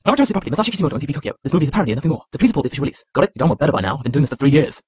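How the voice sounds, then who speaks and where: Man doing disclaimer announcer, extremely fast, higher pitched, impatient, faint background noise. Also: sing-song. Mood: brisk, impatient. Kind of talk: rapid scripted disclaimer.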